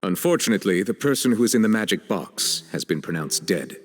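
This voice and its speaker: A composed, authoritative chief justice from a game, low-voiced and measured. He gives orders and grave reports calmly, with a music score underneath. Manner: measured, commanding. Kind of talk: game cutscene dub.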